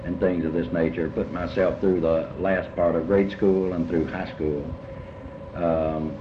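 An older man speaking reflectively in an interview about his life, halting and often repeating words as he rephrases. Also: deep voice, steady tone. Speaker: interviewee recalling early life. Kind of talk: reflective oral history interview. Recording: muffled, noisy, echoey room recording.

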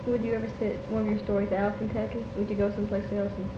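A woman with a mid-range voice puts informal questions as an interviewer, casual and a little rambling. The sound is dull and echoey, with clear noise behind her. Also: mid-range voice, flat delivery, very fast. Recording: muffled, noisy, echoey old tape.

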